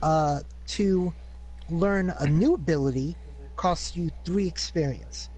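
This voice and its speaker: A man's voice: fairly high pitch, takes it slowly, a little mellow, breathy edge, low-quality recording. Man methodically reading out game rules. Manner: methodical, plain explaining with hesitant "uh"s. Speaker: player.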